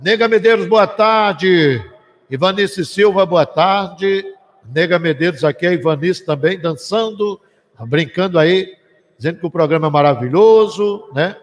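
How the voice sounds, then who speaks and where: A man with a Northeastern Brazilian accent hosting a live radio show in a folksy, exclamatory way, chatting and sending shout-outs. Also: sits high, unhurried. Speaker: radio host. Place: small indoor room used as a webcast studio. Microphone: desk microphone close to the mouth, headphones on.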